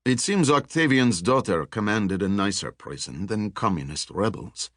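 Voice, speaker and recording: A man's voice: lowish voice, animated, normal speed, no echo, studio quality, lightly acted. A man voicing a restless, learned male researcher in an audiobook, brooding and wry, with a lecturing manner.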